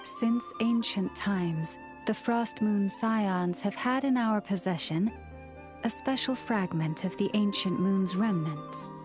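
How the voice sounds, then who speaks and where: A young priestess of the moon in a video game, acted by a woman. She speaks slowly in a mid-range voice, gentle and earnest. Her delivery is formal and reverent, with soft music underneath. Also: mid-range voice, natural voice. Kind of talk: video game cutscene acting.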